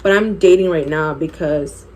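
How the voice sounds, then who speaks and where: A woman's voice: sits low, moderate pace, darkish tone, slight background noise, rough recording. A woman in her twenties telling a personal story while doing her makeup, chatty and candid, laughing often. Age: 20s. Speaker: beauty vlogger.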